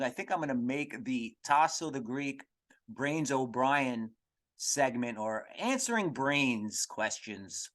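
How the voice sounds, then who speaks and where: A man hosting a comedy podcast, rambling through an irreverent, profane monologue in a halting, digressive way. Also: mid-range voice, fairly bright tone. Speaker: podcast host.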